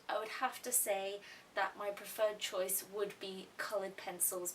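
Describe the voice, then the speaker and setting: A woman's voice: fairly high pitch, a bit bright. A young woman who grew up in Thailand, hosting a casual art-channel question and answer vlog in a chatty way, with asides and restarts. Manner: chatty, repeats herself, little asides. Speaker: YouTube art channel host. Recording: faint hiss, mic a little distant.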